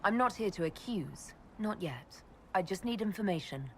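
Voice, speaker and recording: Composed, mid-pitched heroine agent. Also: female voice, animated, moderate pace, a bit bright, slight background noise. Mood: calm, firm. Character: composed, diplomatic female agent, earnest heroine. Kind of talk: dramatic video game acting.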